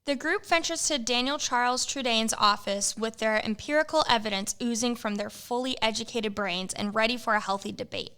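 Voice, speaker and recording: A woman narrating a comic student radio play in a brisk storybook manner. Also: fairly high pitch, sharp tone, slight background noise.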